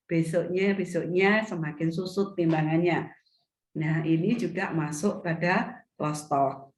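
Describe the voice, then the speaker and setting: Low-voiced woman teaching on a video call. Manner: explanatory, repeats and restates points. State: coughing, slightly hoarse. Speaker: retail business mentor. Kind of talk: online seminar lecture. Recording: echoey video call audio.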